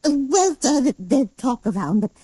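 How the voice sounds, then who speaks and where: An actress playing a nervous, timid housekeeper who stammers deferentially with an American accent in a 1940s radio drama. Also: female voice, sits high, sing-song, normal speed, warm tone, husky, dry recording, full character voice. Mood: flustered, timid.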